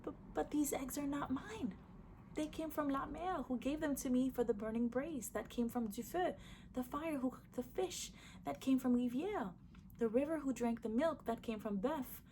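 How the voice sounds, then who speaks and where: A woman's voice: high voice, moderate pace, warm tone, slight background noise, voice pushed up. A woman voicing a frightened old lady whose voice trembles in a storybook tale. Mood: trembling. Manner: trembling, hesitant. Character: old lady Timadam, timid when frightened.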